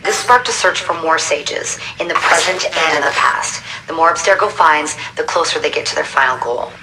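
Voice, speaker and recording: A woman's voice: low-pitched, normal speed. An actress playing a serious, businesslike woman briefing covert agents in a video game. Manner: matter-of-fact, urgent. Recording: distant mic, faint background noise.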